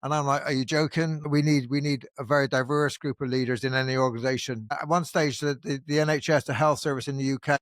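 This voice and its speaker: A man hosting a conversational podcast, talking in an animated way with frequent restarts and fillers. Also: mid-range voice, quick pace, hardly any pauses, no room sound, studio quality. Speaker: podcast host. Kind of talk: casual conversational interview.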